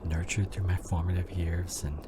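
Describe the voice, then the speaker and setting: A man's voice: low voice, little pitch movement, normal speed, very noisy, lightly acted. A man acting a devoted, worried husband in an emotional ASMR drama, speaking intimately and breathily, often half-whispering. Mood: confessional, sorrowful. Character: devoted, worried husband comforting his injured wife.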